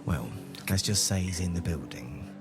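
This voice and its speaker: A man's voice: low voice, animated, moderate pace, over music. A man playing a sly, genial thief with florid, mock-courtly speech in an improvised tabletop game. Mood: smooth, courtly. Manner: mock-courtly, effusive, evasive.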